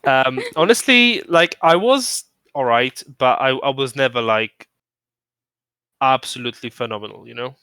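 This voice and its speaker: Chatty man with a bright, crisp voice. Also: medium pitch, normal speed, dry recording, studio quality. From moved to the UK from abroad. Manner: chatty, rambling with restarts. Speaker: streamer. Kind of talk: casual chat.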